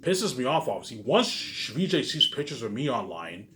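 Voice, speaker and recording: A man in an unscripted, repetitive and halting monologue to viewers, sounding aggrieved and letting phrases trail off quietly. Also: mid-range voice, big pitch swings, slight background noise. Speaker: online vlogger.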